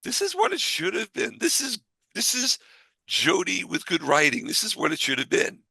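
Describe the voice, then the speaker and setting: A man's voice: sits high, huge pitch swings, normal speed, creaky, sharp tone, breathy edge, no room sound. A man co-hosting a casual podcast, giving his opinions emphatically and repeating phrases to make his point. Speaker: podcast co-host.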